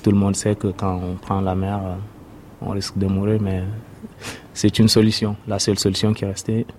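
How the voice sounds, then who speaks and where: A young man from Sudan, native Arabic speaker, giving a hesitant personal testimony with many pauses. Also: lowish voice, completely flat delivery, a little mellow, a little hiss or hum. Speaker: interviewee, refugee.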